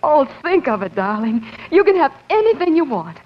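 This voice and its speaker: An actress playing an eager, ambitious fiancée, husky and persuasive, in 1940s radio drama style. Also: female voice, sits high, very animated, normal speed. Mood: thrilled, coaxing. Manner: gushing, persuasive, soothing. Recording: dull 1940s radio recording.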